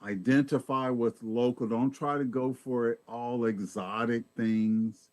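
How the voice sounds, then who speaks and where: A man's voice: mid-range voice, steady tone, unhurried, a little mellow, no echo. A man giving an informal health talk as guest presenter, folksy and explanatory, often repeating words as he thinks.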